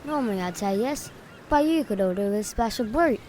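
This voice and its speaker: Man voicing a cocky young lad, high, hissy lo-fi audio. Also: expressive, fairly quick. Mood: teasing. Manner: cocky, teasing. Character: cheeky young lad in a boat. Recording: noisy, overdriven amateur audio.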